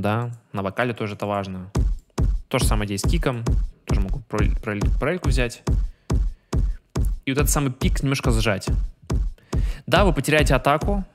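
A young man explaining music production in an informal, slangy tutorial style, talking into a desk microphone in a home room. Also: medium pitch. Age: young adult. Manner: informal, slangy, peppered with tag words. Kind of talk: casual screen-recorded tutorial, explaining. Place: small home room, indoors. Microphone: desk microphone close to the mouth. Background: loud music from the track being mixed.

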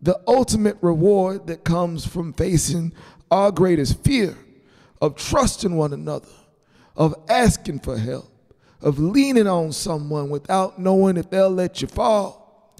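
A high, gravelly old grandfather performed by a man reading a storybook speaks in a big ringing reverb. He is sentimental and grateful, with a slow, halting, tender delivery. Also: expressive. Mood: heartfelt. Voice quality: creaky. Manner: halting, tender, soft-spoken. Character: elderly grandfather giving a sentimental, grateful retirement speech. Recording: long hall reverb on the voice.

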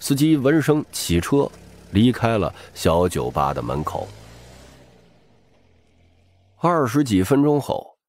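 A man's voice: mid-range voice, big pitch swings, a little slow, a little mellow, slight background noise. A man narrating a novel as a dramatic scripted audiobook.

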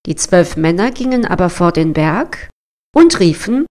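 Unhurried woman reading a fairy tale. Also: on the low side. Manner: measured, expressive storybook reading. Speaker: storyteller. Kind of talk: scripted fairy-tale narration.

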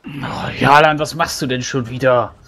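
A friendly, muddled male dwarf played by a man in a fantasy tabletop game. He fusses and stumbles colloquially in a medium-pitched voice. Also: steady tone, moderate pace, a bit bright, lightly acted. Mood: muddled concern.

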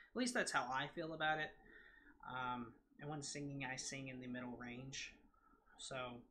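A man in his twenties with a nasal voice, chatting casually to camera in a rambling, excited way full of fillers and restarts, recorded close on a desk mic in a large room at home. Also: mid-range voice, slight background noise. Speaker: gaming vlogger. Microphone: desk mic on a scissor arm, close.

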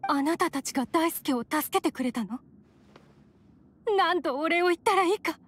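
Caring, worried mother, anime game; high-pitched, polite, gentle, faint background noise. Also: normal speed. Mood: grateful. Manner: soft, polite feminine speech. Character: gentle, anxious, grateful mother.

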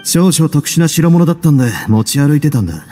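An actor playing a cool, composed duke in an anime game, speaking with measured, casual authority and dry wit. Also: male voice, a little high, expressive, lightly acted. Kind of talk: Japanese anime game voice acting. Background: music.

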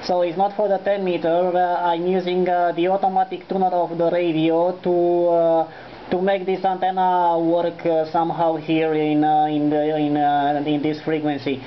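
A man's voice: fairly high pitch, monotone, moderate pace. A man with a Romanian accent making an amateur radio contact, courteous and formulaic, spelling phonetically. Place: indoors, at a desk beside the transceiver. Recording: dull lo-fi sound with faint noise.

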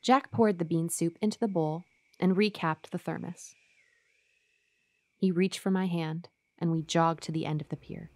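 Actress doing quiet trusting young woman narrating her creepy story, low subdued voice, radio play. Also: fairly even intonation, moderate pace, close to an everyday voice. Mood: subdued, uneasy. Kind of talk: first-person horror radio play narration.

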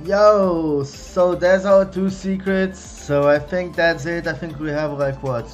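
Young man, slightly high voice, slow unhurried talk, casual card-opening commentary, home desk mic, faint background music. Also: dull audio. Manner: chatty, excited on big pulls, low and let down on misses. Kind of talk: casual running commentary while opening trading card packs. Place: home room, indoors.

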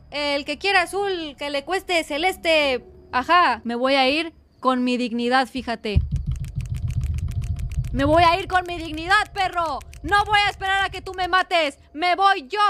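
A woman's voice: extremely high pitch, fairly bright tone. A woman with a Mexican accent commentating a horror game as a streamer, dramatic and teasing, sometimes breaking into song. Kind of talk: gaming livestream commentary.

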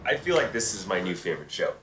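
An actor playing an excitable, gushing television fan in a comedy series. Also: male voice, low voice, fast. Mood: excited. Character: excitable, gushing man who loves television. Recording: distant, noisy playback in echoey auditorium.

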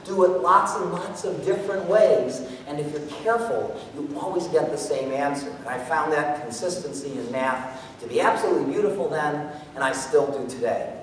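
A middle-aged American man giving a live talk on stage and taking audience questions, animated and jokey as he thinks aloud. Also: fairly high pitch, moderate pace. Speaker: guest speaker, mathematician performer. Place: theatre stage, indoors. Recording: echoey hall from far off, noisy.

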